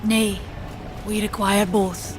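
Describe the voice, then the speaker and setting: A woman's voice: mid-range voice, little pitch movement, slow pace, music in the background, natural voice. A woman playing a stern, proud forge Maker of an ancient race in a fantasy game, solemn and commanding, with old-fashioned wording. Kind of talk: dark fantasy game acting.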